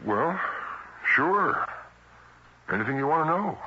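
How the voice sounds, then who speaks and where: A man's voice: a little high, sing-song, slow pace, pushed and bright, exaggerated voice. An actor playing a nervous, guilty ordinary man caught breaking in, hesitant and evasive, in 1970s radio drama style. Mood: puzzled, yielding. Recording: dull, noisy vintage radio recording.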